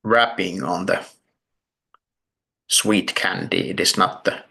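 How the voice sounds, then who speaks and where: A man guides a meditation very slowly, breaking his sentences into short, deliberate phrases. His low voice carries a creaky fry, and long pauses sit between his words. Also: fairly even intonation, sharp tone. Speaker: meditation teacher.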